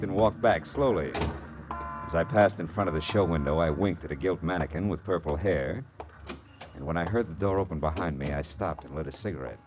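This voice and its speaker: Casting: a hardboiled American detective, fairly low voice, dry wisecracks, vintage radio drama with prominent music. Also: male voice. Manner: clipped, wry, hardboiled. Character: tough wisecracking male private detective, world-weary narrator. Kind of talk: 1950s radio drama acting and hardboiled narration.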